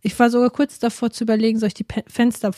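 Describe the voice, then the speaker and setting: A woman with a cold chats on as podcast co-host, rambling and trailing into unsure asides. Her voice sits a bit high, and the recording is close and dry with no room sound. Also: level tone, very fast, warm tone, dry recording. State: has a cold. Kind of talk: casual chat. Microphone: remote recording, separate from co-host.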